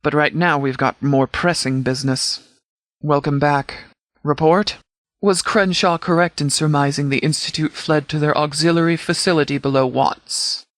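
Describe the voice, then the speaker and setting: A man playing a composed, pragmatic military commander in a video game, speaking in a formal, measured, tactical way. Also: fairly high pitch, steady tone, a bit bright, dry recording, clean studio sound, lightly acted. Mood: composed, authoritative. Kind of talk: video game dialogue acting.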